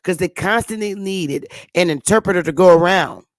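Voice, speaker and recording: A woman's voice: fairly low pitch, moderate pace, no room sound, clean studio sound. A woman hosting an online talk show, giving an emphatic, preachy casual lecture and drawing out her stressed words. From northern United States. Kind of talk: casual teaching monologue.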